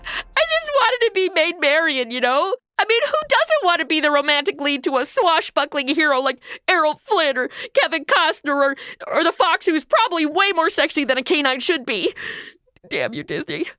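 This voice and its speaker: Casting: actress as sassy, excitable modern young heroine, comic audio drama, high voice, very animated. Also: female voice, normal speed, no room sound, studio quality, heavily acted. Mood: sulky. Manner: sarcastic, exclamatory, petulant. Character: sarcastic, self-centred, excitable modern young woman radio heroine.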